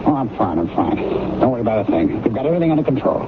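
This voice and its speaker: Actor as edgy producer on tinny old radio. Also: male voice, mid-range voice, very animated, racing, heavy background noise, close to an everyday voice. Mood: tense, commanding. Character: determined, edgy male producer running a broadcast. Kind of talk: old-time radio drama acting.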